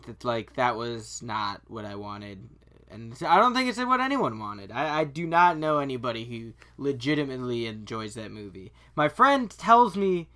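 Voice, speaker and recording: A man's voice: mid-range voice, sing-song, moderate pace, bright, forceful tone. A man co-hosting a podcast, chatting casually in blunt, slangy language. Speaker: podcast co-host. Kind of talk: casual, profane chat about films.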